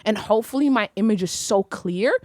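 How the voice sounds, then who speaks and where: A woman's voice: mid-range voice, expressive, brisk, no echo. A young woman whose first language is Arabic, speaking in a vivid, poetic, reflective way in a casual studio conversation. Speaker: poet and podcast guest. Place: small studio room. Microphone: studio mic on stand, headphones.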